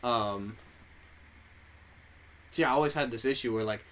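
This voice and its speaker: A man's voice: mid-range voice, unhurried, fairly bright tone. A young man whose first language is Spanish, co-hosting a podcast in casual chat and rambling through stories while he often corrects himself. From United States. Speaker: podcast co-host.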